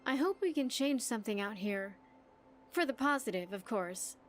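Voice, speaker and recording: A woman's voice: a little high, animated, normal speed, long reverb tail, slight background noise, lightly acted. A woman playing a wistful, earnest young vault survivor in a video game, speaking in careful, formal phrases. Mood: earnest, reassuring. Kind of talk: video game companion dialogue.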